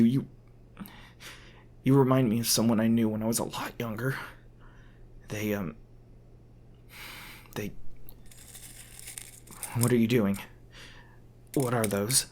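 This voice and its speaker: A man playing an edgy, protective young hunter in an audio roleplay, earnest, urgent and halting. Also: mid-range voice, fairly even intonation. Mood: frantic. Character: edgy, anxious young male hunter, protective and foul-mouthed.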